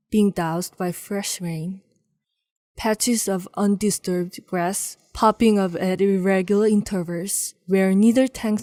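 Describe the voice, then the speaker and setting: A woman narrates a dramatic story from a script. Her mid-pitched voice is dark and mellow, and she takes her time, with measured phrases and pauses. The sound is very dry. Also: no echo.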